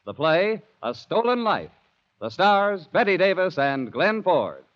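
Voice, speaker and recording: A man's voice: sits high, very animated, a little slow. A man announcing in a scripted 1940s radio style. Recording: lo-fi vintage radio broadcast. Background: faint music.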